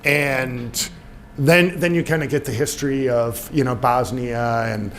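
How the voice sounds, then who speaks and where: A man's voice: medium pitch, steady tone, unhurried, fairly bright tone. Guy co-presenting a casual talk, medium voice, bit of noise behind him.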